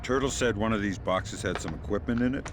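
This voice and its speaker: Male actor as veteran game spy, very deep, dry and terse, clear noise behind. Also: fairly quick, lightly acted. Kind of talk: scripted game voice acting.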